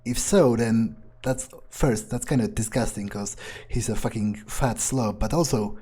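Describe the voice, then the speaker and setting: A man's voice: mid-range voice, a little slow, dark tone, slightly rough. A man playing a callous, foul-mouthed vampire in character during a video game, sneering and improvising with hesitations. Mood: disdainful.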